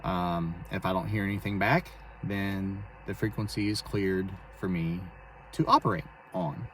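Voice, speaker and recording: A man casually explaining and demonstrating amateur radio to a phone camera, speaking in a hesitant, halting way. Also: low-pitched, fairly even intonation. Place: inside a car for the later part. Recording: clearly noisy phone video recording.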